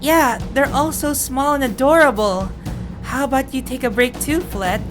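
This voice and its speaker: Woman playing a lazy, high-voiced young giant shepherd. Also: normal speed, natural voice. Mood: carefree, playful. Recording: music behind the voice.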